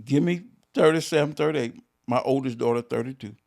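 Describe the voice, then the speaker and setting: A pastor in his fifties co-hosting a casual talk show, emphatic and quick to repeat himself. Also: medium pitch, vocal fry, darkish tone. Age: 50s. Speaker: pastor and podcast co-host. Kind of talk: casual chat.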